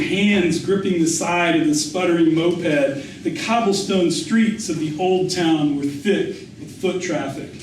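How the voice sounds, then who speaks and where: A man reading a literary memoir aloud as its author, with a measured storytelling delivery. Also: a little high, darkish tone. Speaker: author reading his essay. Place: indoor public reading. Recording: echoey room, distant mic, faint noise.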